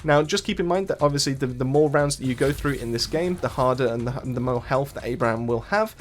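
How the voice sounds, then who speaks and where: A man's voice: medium pitch, fairly quick, soft background music. A man hosting a gaming channel, narrating a casual walkthrough in a wordy way, often rephrasing himself as he explains.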